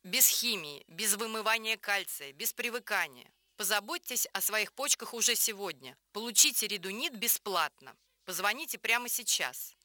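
A woman presenting a scripted radio infomercial in a polished, promotional, clearly enunciated way. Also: medium pitch, expressive, moderate pace, bright, forceful tone, dry recording.

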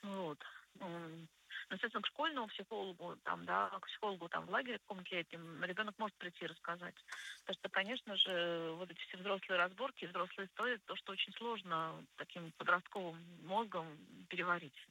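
A woman speaking as a guest psychologist on a radio show, explaining conversationally and deliberately, stressing key words. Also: lowish voice, vocal fry, bright, forceful tone, slight background noise, poor audio. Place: radio studio.

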